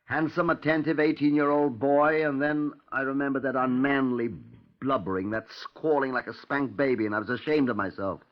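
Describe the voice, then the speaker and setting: An actor playing a stern, disdainful police detective, narrating a 1950s radio crime drama in a dry, clipped, musing manner. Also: male voice, mid-range voice, normal speed, hardly any pauses, lightly acted. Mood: musing, suspicious. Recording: muffled, lo-fi 1950s radio recording.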